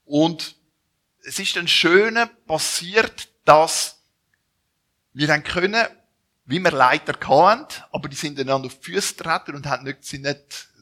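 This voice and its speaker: Swiss German missionary from Zurich, forties, fairly high bright voice, stop-start self-correcting talk to a congregation. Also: male voice. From Zurich, Switzerland. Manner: halting, with restarts and self-corrections. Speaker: missionary speaker. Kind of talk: personal introduction to a church audience.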